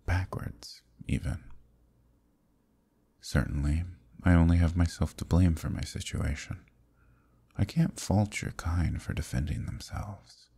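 A man acts out an ancient eldritch evil for an ASMR roleplay, with an extremely low voice full of gravelly creak and a dark, mellow tone. He is weary and wry, musing slowly in formal words as if long alone. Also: heavily acted. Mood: regretful, reflective. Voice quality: very deep, husky, gravelly, breathy. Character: ancient eldritch villain, male, weary, wryly teasing. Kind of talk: ASMR roleplay, intimate monologue.

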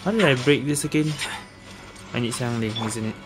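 A man's voice: medium pitch, fairly quick, low-quality recording. A man giving casual gameplay commentary as a gaming host, dropping colloquial tags like lah and eh. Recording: game music behind the voice. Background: video game music.

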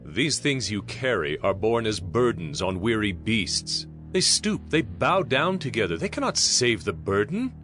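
A solemn narrator of prophetic scripture. His low voice is deliberate and dramatic, with wide swings of intonation over music. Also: sing-song, a bit bright. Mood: grave, dramatic.

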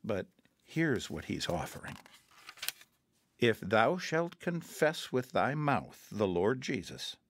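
An actor playing a patient mission counselor in a radio drama, talking calmly and earnestly and quoting scripture. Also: male voice, mid-range voice, slow, a little hiss or hum. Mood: gentle. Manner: calm, earnest, quotes scripture.

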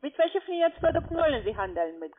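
A woman with a foreign accent giving a phone sales pitch as a call center agent, pushy and blunt. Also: very animated, fast, a little hiss or hum. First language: not German. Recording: tinny narrow phone line.

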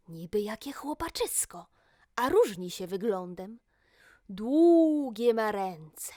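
A woman storyteller narrating a rhymed fairy tale to children in an expressive, theatrical manner. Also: on the high side, no echo, studio quality.

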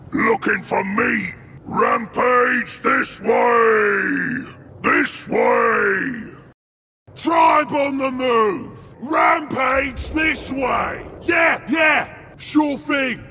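An actor voicing a huge, brutish, ravenous male ogre warrior in a video game, barking short blunt war cries in a gruff, gravelly voice. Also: very high voice, drawn-out words, pushed and bright, shouting, slight background noise, heavily acted. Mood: eager, rowdy. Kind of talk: video game unit battle barks.

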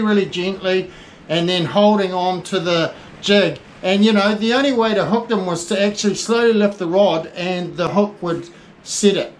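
A man's voice: high-pitched, slight background noise, low-quality recording. A New man in his forties giving a rambling, casual fishing report from inside his small tackle shop, full of fillers and restarts. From New Zealand.

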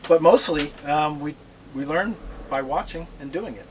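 A middle-aged man giving a relaxed, joking talk about sailing to an audience, standing aboard a catamaran in a marina with notes in hand. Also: a little high, moderate pace, a little hiss or hum, low-quality recording. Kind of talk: casual presentation to an audience. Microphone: far camera.

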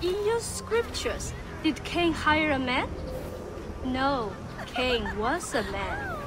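A woman's voice: high voice, expressive, slow, noisy background. An actress playing a ruthless, commanding female pirate in a video game, goading and contemptuous. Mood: scornful. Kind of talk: video game drama acting. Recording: music behind. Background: music.

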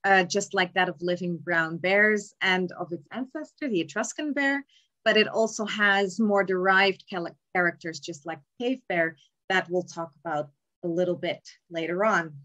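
A young woman giving a remote lecture on palaeontology from an indoor room over a webcam, explaining slides in a measured way with frequent ums. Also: on the low side, fairly bright tone, lo-fi sound. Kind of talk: slide lecture on cave bears. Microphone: webcam in a video call.

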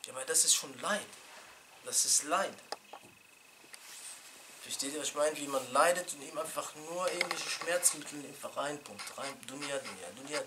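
A middle-aged man teaching an informal religious lesson in a small room, animated and chatty, laughing with his students and restarting his sentences. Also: on the high side, normal speed, noisy background. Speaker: sheikh teaching a Quran class. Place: small indoor classroom.

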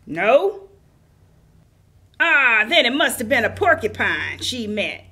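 A goofy puppet wolf performed by a woman for a children's puppet show. The voice is high and bright with big swoops in pitch. It sounds cheerful, muddled and singsong, like a storyteller who keeps getting lost. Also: very animated, slow pace, breathy edge, exaggerated voice. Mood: silly, amused. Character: silly, muddled, cheerful puppet wolf telling stories wrong.

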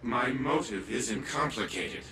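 An actor playing a condescending elderly grandfather turned AI in a video game, speaking in a measured, dryly sardonic way. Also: mid-range voice, noisy background. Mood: weary condescension. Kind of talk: scripted video game acting. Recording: prominent music behind the voice.

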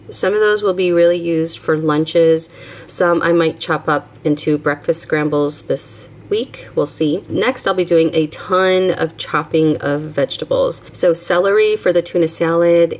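A young adult woman vlogger casually narrating a grocery haul and weekly meal plan, listing items one by one. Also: on the low side.

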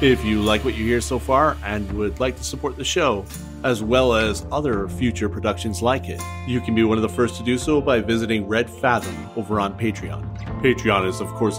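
A man with a lowish voice narrates an audio drama's closing. It is a scripted read addressed to the listener. Plucked guitar music plays clearly underneath. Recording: music behind, acoustic guitar.

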